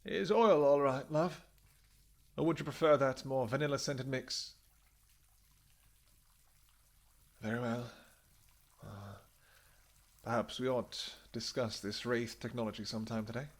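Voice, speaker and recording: A man playing a tender, regal vampire king in a romantic fantasy audio roleplay, speaking softly and courteously with a halting delivery. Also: mid-range voice, voice pushed up, lightly acted. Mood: affectionate, relaxed. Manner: soft, courtly, hesitant, halting phrasing. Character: tender male vampire king, winged, regal and devoted lover.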